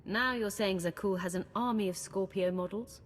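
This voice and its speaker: A woman playing a steady, commanding leader giving orders in a game cutscene. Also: mid-range voice, moderate pace, in a large hall, slight background noise, close to an everyday voice.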